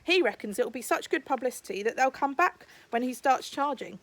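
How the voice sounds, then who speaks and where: A woman's voice: high-pitched, expressive, fairly quick, dry recording. A woman voicing a friendly, easygoing young man who talks in a chatty, informal way in a storybook reading. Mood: doubtful, curious.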